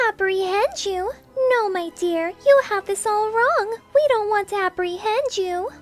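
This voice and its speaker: A man's voice: extremely high pitch, lively intonation, unhurried, soft background music, pitched above the performer's own voice. A man voicing a haughty young filly who plays a scheming noble in a school play, smug and condescending.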